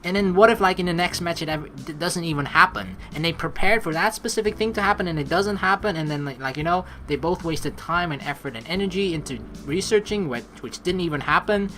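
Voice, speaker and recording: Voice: medium pitch, a little fast, hardly any pauses, a little hiss or hum. A let's play host who chats casually and expressively while playing, and reads game narration aloud. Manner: expressive, chatty, swears casually. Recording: game music under the voice. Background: game music.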